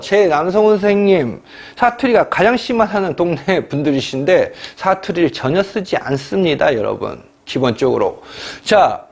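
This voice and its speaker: A middle-aged man lectures for exam prep in a high, animated voice that swings widely in pitch. He presses his points hard, repeats himself for emphasis and scolds his students, often stopping to clear his throat. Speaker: Korean language exam lecturer.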